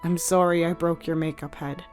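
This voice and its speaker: A woman's voice: lowish voice, flat delivery, normal speed, a little mellow, natural voice. A woman voicing a shy, sensitive boy in a storybook, speaking hesitantly and with emotion. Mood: sheepish relief. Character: shy, tearful boy discovering a hidden girl within. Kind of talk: dramatic audiobook character dialogue. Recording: music behind the voice.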